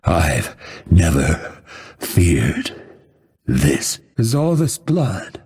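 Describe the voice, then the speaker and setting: A brooding assassin dude for a game, slow deliberate talk, husky, grim and fatalistic. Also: male voice, deep voice, big pitch swings, a little mellow, full character voice. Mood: dying, regretful. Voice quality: husky, gravelly. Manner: measured, solemn, dramatic delivery. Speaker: video game voice actor. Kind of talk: scripted game character voice lines.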